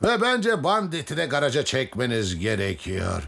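A man voicing a patient, folksy cowboy vehicle who mentors youngsters in a children's cartoon, with a measured storytelling manner. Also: medium pitch, wildly swooping pitch, gravelly, a bit bright, slight background noise, exaggerated voice. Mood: wise, approving. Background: cartoon music.

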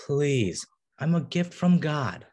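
A man playing an arrogant, popular teenage boy bully with a husky voice in a comedy table read. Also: fairly high pitch, very animated, slow pace, a little mellow, heavily acted. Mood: sneering.

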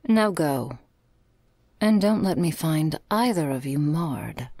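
A woman playing a weary, commanding vampire mistress in an audio roleplay, speaking in formal, poetic phrases with a breathy, near-whispered voice. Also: sits low, lively intonation, dark tone, no echo, clean studio sound, full character voice. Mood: grave, commanding. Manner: slow, poetic, formal diction.

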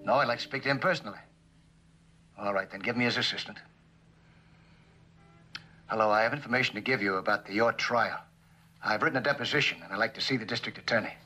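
A troubled american businessman in an old 60s tv drama, really low voice, middle-aged, earnest. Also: quick pace, long pauses, bright, forceful tone. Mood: firm. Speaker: actor in a television drama. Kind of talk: 1960s television drama acting.

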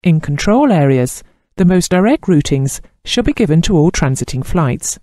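A man reading scripted instructional narration in a formal, measured manner with precise enunciation. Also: fairly high pitch, sing-song, dark tone, no echo, studio quality. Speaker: training course narrator.

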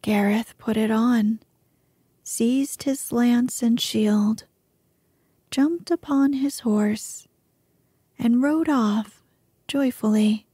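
A woman narrates an old tale in a soft, breathy whisper, very close to the microphone. Her tone is mellow and her pace slow. The sound is dry and intimate. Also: on the high side, no room sound. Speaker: storyteller. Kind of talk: whispered ASMR story reading.

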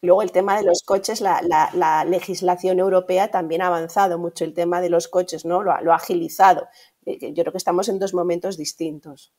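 Female interview guest, middle-aged, Castilian Spanish, lowish pitch, conversational and explanatory, tags phrases with questions. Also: fairly even intonation, brisk, dark tone. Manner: explanatory, often ends phrases with a tag question. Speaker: guest, radio industry manager. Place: indoor room at home, on a video call.

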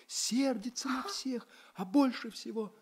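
An actress playing a tiny, sensitive, polite male ship's doctor in a radio play, expressive and plaintive. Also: fairly high pitch, measured pace, slightly rough. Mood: troubled, hurt. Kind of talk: Russian radio play acting.

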